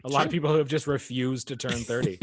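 A man in his early thirties chats casually and reflectively, leaning on fillers as he thinks aloud. His pitch sits in an ordinary middle range. The sound is close and dry. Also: fairly even intonation, fast, dry recording. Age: 30s. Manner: reflective, faith-minded, many fillers. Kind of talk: casual chat.

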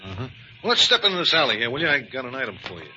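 A man's voice: mid-range voice, sing-song, very fast, lo-fi sound. An actor playing a menacing, uneducated thug in a 1950s radio comedy-drama, with slangy, bullying tough-guy talk. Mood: sly menace. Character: menacing uneducated male thug delivering threats for his boss. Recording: bright, thin vintage radio recording, faint noise.

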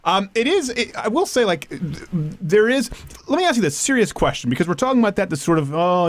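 A man from Canada hosts a comedic podcast, joking with quick asides and a lively, rather high voice that jumps around a lot. The sound is close and dry, with no room around it. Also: dry recording. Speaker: podcast host. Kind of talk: comedic talk show chat.

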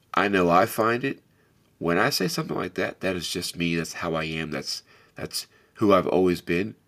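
A man's voice: low voice, a bit bright. A man hosting a reflective motivational talk, speaking earnestly and directly to the listener in a big, carrying voice. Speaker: podcast host.

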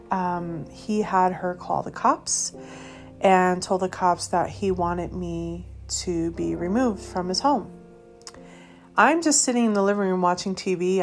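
A woman from Colorado sharing her personal testimony as a ministry host, speaking in an earnest, halting way with many pauses and fillers. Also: fairly low pitch, a little mellow. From Colorado, USA.

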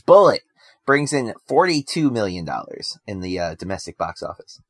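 A man's voice: fairly low pitch, normal speed, no echo, clean studio sound. Easygoing man co-hosting a film podcast. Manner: relaxed and conversational, with frequent ums and you-knows. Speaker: podcast co-host. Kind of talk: casual film podcast chat.

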